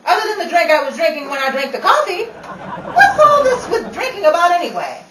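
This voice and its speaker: A woman's voice: very high-pitched, very animated, brisk. A woman playing a sassy, hard-drinking, wisecracking dame in a 1930s-style radio comedy. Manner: wisecracking, theatrical. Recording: roomy, distant-mic recording with faint noise.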